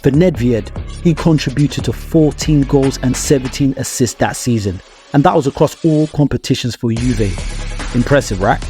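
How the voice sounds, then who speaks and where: A man narrates a scripted sports analysis video in a mellow, warm voice of medium pitch. He stresses his points and poses rhetorical questions to the viewer. Faint music plays behind him. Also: normal speed.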